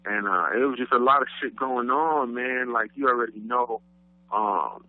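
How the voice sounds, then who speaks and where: A man's voice: medium pitch, moderate pace, no echo. A man from Brooklyn with a New York accent, a rapper talking casually about his roots in a laid-back way, full of "you know what I mean.". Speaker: guest rapper. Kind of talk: casual interview talk. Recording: dry, phone-like radio line.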